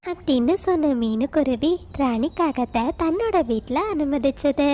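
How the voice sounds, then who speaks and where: A woman narrates a scripted children's story. Her voice is high, and there is faint noise in the background. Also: lively intonation, moderate pace, mellow.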